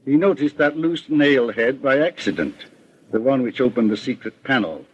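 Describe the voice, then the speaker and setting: A stern, shrewd detective from a vintage radio mystery, played by a man. His voice is husky and he speaks with deliberate authority, never flustered. The sound is dull and lo-fi, like an old broadcast. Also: medium pitch, lightly acted. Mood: accusing. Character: calm, shrewd, stern male detective boss. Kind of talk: 1940s radio crime drama acting.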